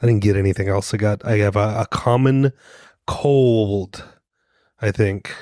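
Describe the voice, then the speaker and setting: A man's voice: on the low side, unhurried, dark tone, no room sound, clean studio sound. A man co-hosting a podcast, rambling in a joking, self-mocking way, a little congested from a cold. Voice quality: soothing voice. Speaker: podcast co-host. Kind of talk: casual rambling monologue.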